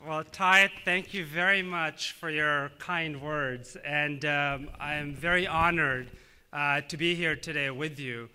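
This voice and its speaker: A man in his thirties from Tanzania, a native Swahili speaker, giving an earnest, measured public speech with frequent "uh" fillers. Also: a little high, steady tone, sharp tone, clear, resonant tone. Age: 30s. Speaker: government official giving a speech.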